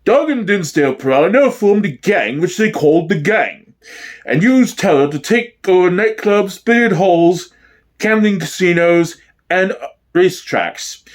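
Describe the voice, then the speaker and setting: A man giving a dramatic, deadpan reading as the narrator of a mock documentary. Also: high voice, a little slow. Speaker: solo performer, narrator.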